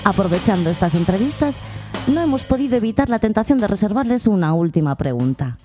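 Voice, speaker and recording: A woman's voice: medium pitch, lively intonation, fast, warm tone, faint music behind. A woman presenter reading scripted radio narration in a dry, matter-of-fact way.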